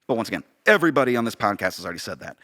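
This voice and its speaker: A man's voice: lowish voice, big pitch swings, rapid-fire, sharp tone. A man with an American accent talking casually on a podcast as co-host, often searching for words and repeating phrases.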